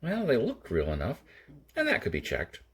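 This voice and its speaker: Male storyteller for audiobook fiction, husky, with highly animated, dramatic intonation. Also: normal speed. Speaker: audiobook narrator.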